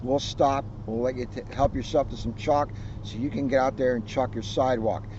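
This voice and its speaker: A man's voice: medium pitch, steady tone, brisk, darkish tone. A man with an American accent, a police officer reading a children's storybook aloud and chatting informally outdoors. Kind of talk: storybook read-aloud and informal community talk. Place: outdoors by a street. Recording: noisy, slightly muffled outdoor recording.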